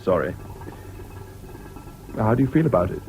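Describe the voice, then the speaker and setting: An actor playing a mild, concerned English army officer, polite and gentle, in a 1970s British radio drama. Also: male voice, fairly low pitch, very animated, normal speed. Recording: dull, noisy old radio recording.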